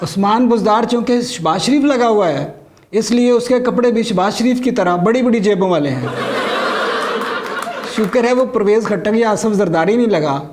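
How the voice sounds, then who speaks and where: A man's voice: high-pitched, normal speed, noisy background. A middle-aged man with a Pakistani accent delivering a scripted satirical comedy monologue as a stand-up comedian. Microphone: lapel mic.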